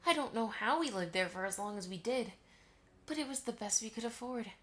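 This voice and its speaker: A woman voicing a gentle, affectionate mother pony who reminisces fondly in an amateur audio drama. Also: on the high side, animated, a little fast, faint music behind. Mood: fond, nostalgic. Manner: affectionate, storytelling to a child.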